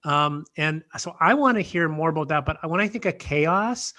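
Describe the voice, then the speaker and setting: A man's voice: fairly high pitch, expressive, normal speed, sharp tone. A man hosting a podcast interview, introducing the guest in a rambling, hesitant way. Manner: rambling, hesitant, many fillers. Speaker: podcast co-host.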